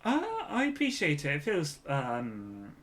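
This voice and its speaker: A man co-hosting a podcast in casual conversation, speaking tentatively and haltingly as he thinks aloud. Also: on the high side, huge pitch swings, fairly bright tone. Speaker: podcast co-host.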